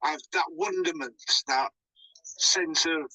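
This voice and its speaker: A man calls in to a radio talk show with a bright, forceful voice, musing in a halting way with many restarts. The sound is dry. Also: fairly high pitch, breathy edge, dry recording, low-quality recording. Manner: reflective, halting, restarts often. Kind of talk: casual chat.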